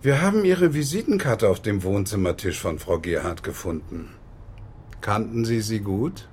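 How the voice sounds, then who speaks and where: An actor playing a deliberate homicide detective in a radio crime drama, formal, measured and probing. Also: male voice, fairly low pitch, lively intonation, a little mellow, slight background noise, lightly acted. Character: deliberate male homicide detective questioning a witness.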